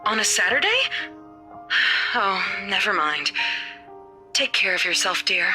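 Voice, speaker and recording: A woman's voice: medium pitch, expressive, measured pace, bright, forceful tone, soft background music. An actress playing a worried, loving mother of a grown daughter in a visual novel game.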